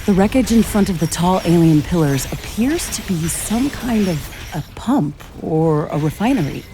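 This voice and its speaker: An actress playing a curious, determined scientist-commando in a video game, speaking in an earnest, articulate way. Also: female voice, lowish voice, expressive, normal speed, background noise, natural voice. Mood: analytical. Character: curious, determined female scientist-commando exploring an alien world. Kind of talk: scripted video game dialogue.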